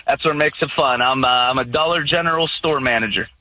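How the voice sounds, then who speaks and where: A man's voice: on the high side, normal speed, bright, forceful tone, dry recording, rough recording. A man calling in to a radio show, upbeat and self-deprecating, often laughing as he talks. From Pittsburgh area. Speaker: caller. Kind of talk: call-in radio conversation.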